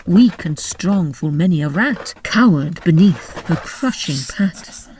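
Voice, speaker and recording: A woman giving an expressive, theatrical reading of literary narration and verse. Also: lowish voice, takes it slowly, slight background noise. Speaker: narrator and poetry reader. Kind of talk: literary narration and dramatic recitation.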